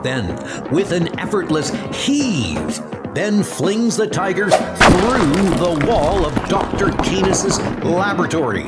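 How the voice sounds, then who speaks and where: A man's voice: high voice, big pitch swings, slow pace. A man reading dramatic scripted comic-book narration. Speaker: narrator and character reader. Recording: music under the voice.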